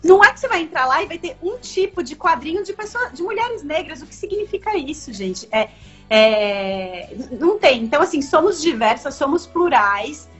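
A woman's voice: high-pitched, sing-song, moderate pace, fairly bright tone, faint music behind. A woman in her thirties from Brazil, a comics editor talking in a casual, emphatic video-call interview from a room at home, with many fillers and restarts. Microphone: laptop webcam on video call. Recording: dull lo-fi video call audio.

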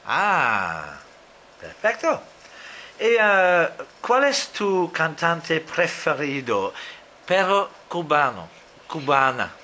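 Slow, lively-pitched man asking questions. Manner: slow, deliberate questions with many fillers. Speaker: interviewer. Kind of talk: language-practice interview.

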